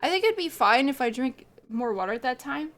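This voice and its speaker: A woman's voice: sits high, lively intonation, fairly quick, a bit bright. Stuffed-up woman rambling on a stream. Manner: rambling, chatty, laughs often. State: stuffed up from a cold and allergies. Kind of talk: casual livestream chat with viewers.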